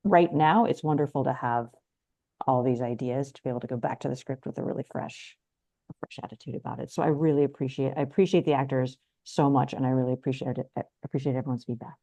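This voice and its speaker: A woman speaks in a soft-toned, mellow voice with little room sound. She reflects casually and appreciatively, pausing to find her words as she shares thoughts with a group. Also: a bass voice, steady tone, vocal fry, no room sound. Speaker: screenwriter receiving feedback. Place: video call.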